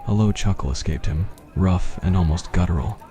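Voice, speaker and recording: A man's voice: deep voice, moderate pace, warm tone. A man reading a scripted fantasy roleplay as ASMR story narration.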